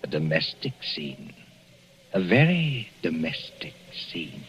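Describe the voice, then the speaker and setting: A man narrating a radio mystery with an ominous, measured, theatrical delivery, drawing out the suspense. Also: sits low, very animated, a bit bright, a little hiss or hum. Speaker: radio drama host and narrator. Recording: muffled 1940s radio broadcast with music behind.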